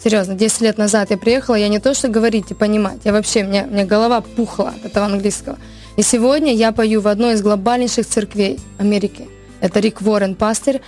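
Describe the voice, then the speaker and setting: A woman from Ukraine, a worship singer, telling her story in a casual interview, earnest and animated with many restarts. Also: mid-range voice, fast, faint music behind.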